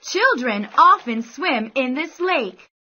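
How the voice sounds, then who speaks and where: A woman narrating a scripted children's bedtime story in deliberate, careful phrases. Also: high voice, sing-song, a little hiss or hum. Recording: dull sound, soft music behind.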